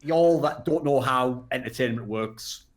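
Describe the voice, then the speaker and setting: A man's voice: mid-range voice, a little slow. A man hosting a casual podcast, talking in a blunt, sarcastic way and swearing freely. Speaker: podcast host. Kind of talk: casual talk show hosting.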